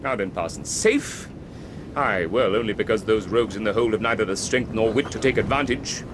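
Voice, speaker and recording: An actor playing a gruff, weary convict-ship captain in a period radio drama, with salty seafaring speech. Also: male voice, on the low side, a little fast, close to an everyday voice. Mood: grumbling, wary. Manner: barks nautical orders, grumbles in old seafaring idiom. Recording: noisy shipboard sound effects behind.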